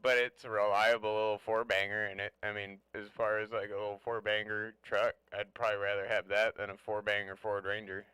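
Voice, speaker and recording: A man's voice: fairly low pitch, flat delivery, brisk, few pauses, bright, forceful tone, clear, resonant tone. A man talking casually over CB radio, blunt, opinionated and given to swearing. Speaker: CB radio operator chatting. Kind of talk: casual chat about trucks. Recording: very noisy CB radio with clicks.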